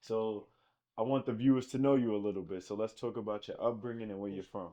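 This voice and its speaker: A man's voice: fairly low pitch, fairly even intonation, fast talker, mellow. A man hosting a podcast, asking questions in loose casual speech with short affirmations. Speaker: podcast host.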